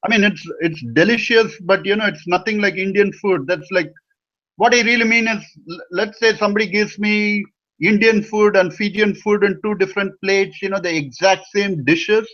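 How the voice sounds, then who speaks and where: A man's voice: sits high, steady tone, moderate pace, fairly bright tone. A man interviewing casually over an internet call, wordy and often repeating himself with "you know". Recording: dull lo-fi internet call audio.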